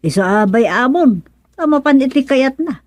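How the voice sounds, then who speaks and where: A woman's voice: sits high, animated, moderate pace, no room sound. A woman acting in a Filipino radio serial as a devout, patient but vexed older aunt, with a Filipino accent and a sighing, admonishing manner.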